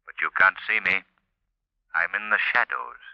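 An actor playing a suave, measured crime-fighting gentleman with a polished delivery, in 1940s radio drama style. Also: low-pitched, creaky, no room sound. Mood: stern, taunting. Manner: measured, polished, sternly mocking. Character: suave crime-fighting gentleman, an unseen taunting avenger of justice. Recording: muffled old radio recording.

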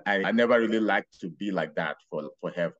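Brief: middle-aged man, Nigerian accent, lowish voice, muffled lo-fi audio, storytelling podcast guest. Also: fairly bright tone. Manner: unhurried, rambling with restarts and laughs. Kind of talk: casual interview storytelling.

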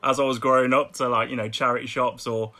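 Bright-voiced Brummie man chatting. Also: medium pitch, fast. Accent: Birmingham English. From Birmingham, England. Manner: chatty, laughs often, repeats words when excited. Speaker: guest artist. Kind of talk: casual podcast chat.

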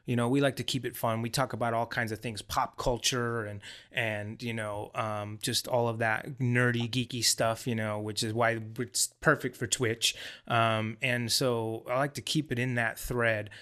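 A man in a mid-range voice co-hosts a relaxed online chat show. He rambles warmly from one thought to the next, leaning on fillers as he goes. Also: mid-range voice, normal speed, hardly any pauses. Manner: rambling, friendly, many fillers. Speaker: podcast co-host. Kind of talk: casual chat.

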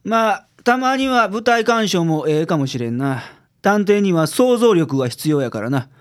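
A man's voice: high-pitched, very animated, moderate pace. A man playing a blunt Kansai private detective. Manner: blunt, terse, dismissive. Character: gruff local private detective, middle-aged man.

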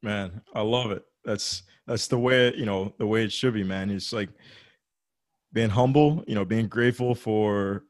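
Male host, low voice, dry close sound, encouraging, casual chat. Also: a little slow, no room sound. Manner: affirming, encouraging, fillers like "uh" and "you know". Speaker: interviewer host. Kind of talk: casual interview conversation.

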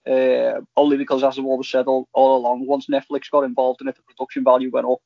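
A man in casual, halting podcast chat about TV, rambling as a co-host. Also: medium pitch, monotone, vocal fry, no echo. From Liverpool, England. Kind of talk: casual chat reviewing TV shows. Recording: slightly dull, lo-fi audio.

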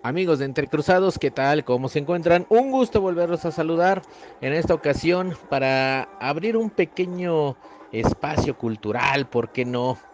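Chatty Mexican male host, lively voice. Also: a little high, moderate pace, dull audio. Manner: friendly and chatty, with slangy asides. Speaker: podcast host. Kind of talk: casual introduction.

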